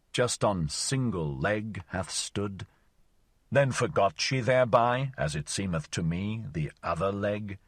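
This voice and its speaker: A man's voice: sits low, breathy edge, no echo, clean studio sound, full character voice. A man reading the part of a wry, theatrical wandering shadow in an audiobook, with a mock-solemn, declamatory delivery. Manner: declamatory, mock-solemn recital with sudden exclamations. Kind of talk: audiobook reading of archaic verse.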